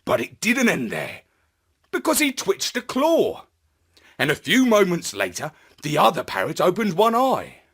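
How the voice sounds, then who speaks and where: A man narrating a children's story in a theatrical, deliberate, expressive manner. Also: sits high, husky. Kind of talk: scripted children's story narration in first person.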